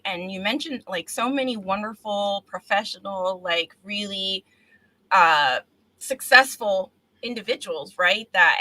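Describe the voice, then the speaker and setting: A woman's voice: medium pitch, pushed and bright, rough recording. A woman hosting a casual live-streamed interview, speaking in an encouraging, affirming manner. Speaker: talk show host.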